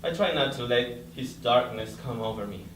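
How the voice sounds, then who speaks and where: A man acting a suffering, stoic prisoner in short, measured sentences at a staged reading. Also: medium pitch, expressive, a bit bright, lightly acted. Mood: numb, suffering. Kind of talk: staged dramatic reading, monologue. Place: live staged reading indoors. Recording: distant, echoey room, faint noise.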